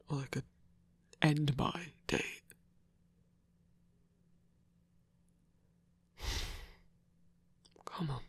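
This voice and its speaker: A man voicing a gruff but caring male werewolf lover in an ASMR romance roleplay, with a British accent, a raspy half-whisper and a casual, coaxing manner. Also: fairly high pitch, no room sound, full character voice. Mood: soothing. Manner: casual, coaxing, hesitant pauses.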